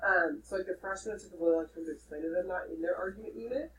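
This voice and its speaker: A young woman with a nasal voice teaching a high school English class, lively and checking in with her students. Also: rapid-fire. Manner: lively, checks in with "okay?" often. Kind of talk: classroom lecture. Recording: distant mic with faint room noise.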